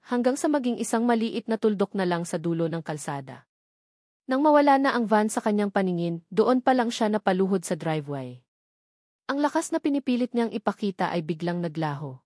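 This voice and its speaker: A woman with a Filipino accent reads a dramatic story as scripted narration, in a measured, solemn manner. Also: medium pitch, a little mellow, no echo, studio quality.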